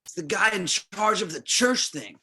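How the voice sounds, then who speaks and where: Man as a bright, raspy blunt slacker. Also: high-pitched, sing-song, normal speed, heavily acted. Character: blunt, simple slacker with plain-spoken ideas. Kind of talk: table read of a film script.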